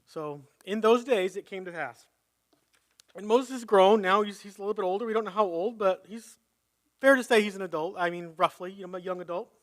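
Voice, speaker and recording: A man's voice: sits high, fairly quick, a bit bright. A man preaching a conversational sermon at a live church service, reading scripture and thinking aloud as he corrects himself.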